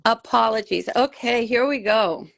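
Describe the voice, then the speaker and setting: A woman from Puerto Rico joins a live craft stream as a guest. Her voice has a gravelly, fry-tinged edge, and she chats casually with a self-deprecating, apologetic manner. She is heard through a computer mic on a video call. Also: fairly high pitch, a little slow, fairly bright tone.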